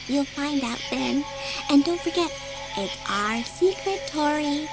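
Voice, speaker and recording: A woman's voice: high-pitched, lively intonation, a little slow, over music. A woman playing a girl's imaginary friend come alive, possessive and sly, coaxing secretively in a dramatised audiobook.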